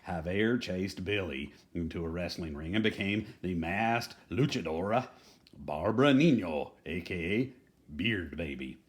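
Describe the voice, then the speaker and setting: A man with a low voice reads a picture book for children. His delivery is highly animated and swings up and down, with folksy, playful drama and chatty little asides. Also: unhurried.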